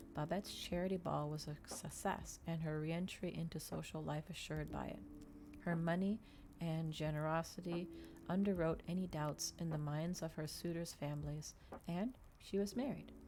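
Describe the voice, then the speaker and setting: A woman reading a novel aloud in a soft, measured ASMR storytelling style, stumbling now and then. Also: lowish voice, a little hiss or hum.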